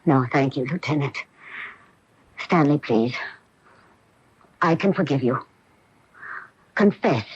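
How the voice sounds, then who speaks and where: Casting: accusing, unforgiving sister in a radio mystery, slow and husky. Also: female voice, extremely low pitch, animated, a little mellow, dry recording, exaggerated voice. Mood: bitter, accusing. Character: bitter, accusing older sister, grieving and unforgiving. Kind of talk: 1980s radio drama acting. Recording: dull AM radio recording.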